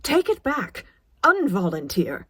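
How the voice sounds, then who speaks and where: A woman's voice: mid-range voice, wildly swooping pitch, slow pace, fairly bright tone, slightly rough, no echo, heavily acted. A woman voicing a disapproving, status-conscious middle-aged mother in an audiobook, clipped and scolding. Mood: appalled.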